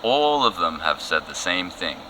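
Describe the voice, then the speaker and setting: Over clear ambient noise comes a man playing a TV field reporter in a news satire. His voice is low. He is weary, factual and deadpan. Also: measured pace. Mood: deadpan, insistent. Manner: flat-worded, factual, deadpan. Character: weary, matter-of-fact male TV field reporter. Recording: noisy outside-report ambience behind.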